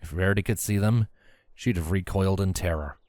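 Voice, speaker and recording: A man's voice: sits low, fairly quick, a little mellow, dry recording. A man reading scripted story narration as the narrator of a fan fiction tale.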